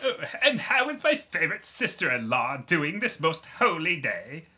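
Pompous, husky posh snob. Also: male voice, fairly high pitch, big pitch swings, normal speed, pushed and bright, exaggerated voice. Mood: haughty. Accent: affected posh. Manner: pompous, theatrical. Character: pompous, aggrieved, snobbish brother-in-law and father. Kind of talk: scripted comedy audio drama.

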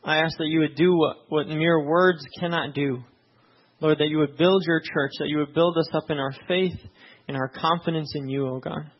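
High-voiced man preaching, slightly dull sound. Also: steady tone, normal speed. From Tanzania. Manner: conversational preaching with frequent "right?" check-ins; soft, hushed prayer voice. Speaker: pastor. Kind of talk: sermon with opening prayer.

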